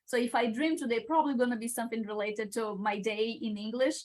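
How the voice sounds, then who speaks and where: Young Brazilian woman, Portuguese native, speaks English with a slightly high voice and works through her thoughts with frequent pauses and restarts. Video-call interview guest. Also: fairly even intonation. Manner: hesitant, searching for words with many uh's and restarts. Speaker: guest, international engineering student. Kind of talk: live-stream Q&A answers.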